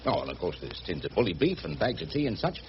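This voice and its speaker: Cheeky cockney boat mechanic, low voice that swoops about, chatty radio play stuff, muffled noisy recording. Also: male voice, sing-song, fast talker. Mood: easygoing, reasoning. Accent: Cockney English. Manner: chatty, colloquial, deferential. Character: cheeky, easygoing working-class English boat mechanic. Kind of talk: radio drama acting.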